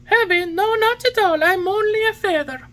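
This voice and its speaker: A woman's voice: very high voice, normal speed, fairly bright tone, voice pushed up. A woman voicing a childlike, emotional little wooden puppet boy in a storybook, with a plaintive, exclamatory delivery. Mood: eager, excited.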